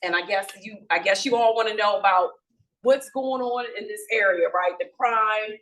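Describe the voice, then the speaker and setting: A woman's voice: medium pitch, normal speed, a bit bright, distant mic, rough recording. A woman police commander addressing a community meeting without a microphone, chatty and informal, with many fillers and restarts. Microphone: no microphone, from across the room.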